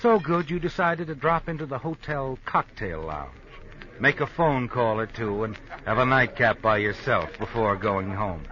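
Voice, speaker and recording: An actor playing an ironic, knowing narrator of a 1950s radio suspense drama, taunting and deliberate. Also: male voice, mid-range voice, animated, pushed and bright. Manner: taunting, deliberate, addresses the character as you. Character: ironic, knowing male narrator addressing a guilty woman. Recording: muffled old radio broadcast with music. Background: music.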